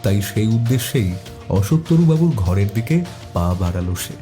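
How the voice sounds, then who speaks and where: A man's voice: on the low side, big pitch swings, normal speed, dark tone, soft background music. A man reading a scripted detective story as narrator, also speaking the detective's questions.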